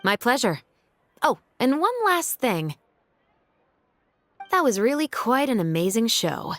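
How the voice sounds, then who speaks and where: A woman's voice: fairly high pitch, sing-song, slow, fairly bright tone, dry recording, exaggerated voice. A woman playing a dutiful police officer in an anime game, wry and friendly with a long-suffering manner.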